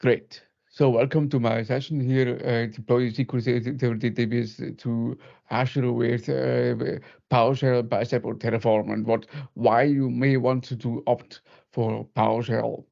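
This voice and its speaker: Halting man, gravelly low creak. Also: little pitch movement, dark tone. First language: German. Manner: halting, frequent repetitions and self-corrections. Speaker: presenter, data solution architect. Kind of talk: informal technical webinar presentation. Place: online video meeting. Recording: muffled, band-limited online call audio.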